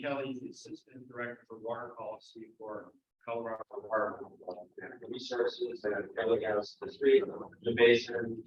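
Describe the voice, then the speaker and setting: A man introducing panel speakers at a conference, halting with many fillers and restarts. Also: mid-range voice. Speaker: session moderator. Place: conference room. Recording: echoey hall from far off, dull sound.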